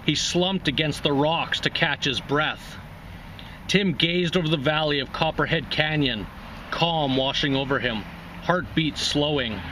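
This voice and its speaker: A man's voice: a little high, a little slow, bright, forceful tone, slight background noise, poor audio. A man hosting a reading series, narrating a literary short story aloud.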